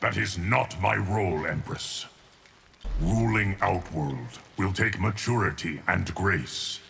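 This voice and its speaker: A man playing a solemn, ancient guardian of time in a video game, formal and measured, with a gravelly voice. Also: low voice, fairly bright tone, a little hiss or hum, full character voice. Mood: solemn, stoic. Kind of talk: video game character acting.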